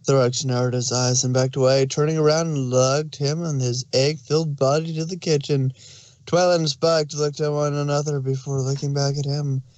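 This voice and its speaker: A man's voice: on the high side, flat delivery, moderate pace, darkish tone, no room sound. A man narrating a story aloud as a scripted fan fiction reading.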